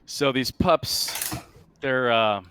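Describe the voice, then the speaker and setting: A man running a tabletop sci-fi roleplaying game as game master, improvising his narration in a halting, thinking-aloud way. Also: medium pitch, sharp tone, a little hiss or hum.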